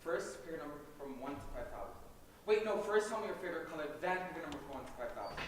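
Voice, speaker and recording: A man's voice: on the high side, animated, racing, background noise, close to an everyday voice. A young man playing an eager, bumbling amateur magician in a comic student stage play, scattered but showy. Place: theater hall, recorded from audience distance. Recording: echoey hall, distant mic.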